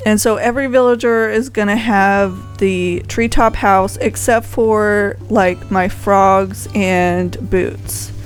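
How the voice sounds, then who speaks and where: A woman giving casual, unscripted gameplay commentary as a video host, rambling conversationally and thinking aloud. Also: medium pitch. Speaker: video host showing a game island.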